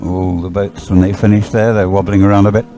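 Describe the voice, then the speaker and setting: Low, mellow male race commentator. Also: level tone, fairly quick, faint music behind. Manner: rambling, hesitant, thinking aloud. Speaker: sports commentator.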